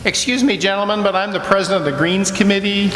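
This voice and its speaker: Woeful, tongue-tied club president played by a man, melodramatic radio comedy, highish voice in echoey hall. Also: fast talker, fairly bright tone. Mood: flustered. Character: flustered, miserable golf club committee president, henpecked husband. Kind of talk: old-time radio comedy acting. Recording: echoey hall, noisy background.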